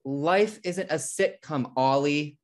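A man's voice: fairly high pitch, slow pace. A man playing an uptight, exasperated twin brother, indignant and earnest, in a sitcom table read on a video call. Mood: fed up. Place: indoor room at home on video call.

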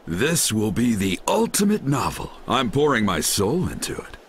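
A man's voice: mid-range voice, very animated, moderate pace, slight background noise. A man voicing a hearty, wise middle-aged ninja mentor in an American-accented anime dub. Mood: solemn, proud. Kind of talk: English anime dub acting.

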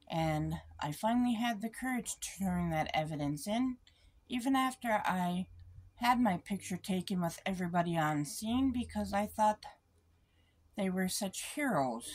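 A middle-aged woman speaking in a polite, deliberate, earnest way, as if giving a formal statement or phone message. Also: medium pitch, expressive, darkish tone. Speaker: vlogger making a phone call. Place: small room indoors.

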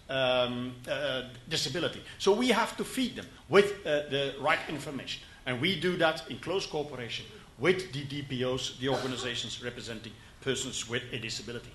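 A Flemish-accented man in his fifties presents at a conference podium, with a fairly high and bright voice. He talks haltingly and often restarts his phrases. The hall around him rings with echo. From Belgium. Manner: halting, many fillers and restarts. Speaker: conference speaker. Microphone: podium microphone.

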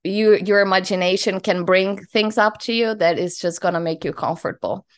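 A woman's voice: medium pitch, moderate pace. A woman in her thirties from Brazil with a Brazilian Portuguese accent, chatting in a friendly way as a hypnotherapist introducing herself on a video call, laughing easily and making small slips in English. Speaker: hypnotherapist host. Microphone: headphones on a video call.

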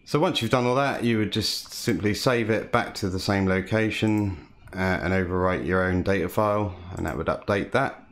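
A man from the United Kingdom, a home cockpit builder, calmly walking through his hobby build with frequent "uh" fillers. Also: deep voice. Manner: calm, unhurried, frequent "uh" fillers. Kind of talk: casual hobby walkthrough.

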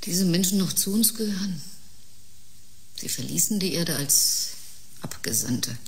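A low-pitched woman as a grave doctor. She is composed and speaks with measured, clinical care. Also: a bit bright, exaggerated voice. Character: grave, composed female doctor briefing colleague. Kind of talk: audio drama acting.

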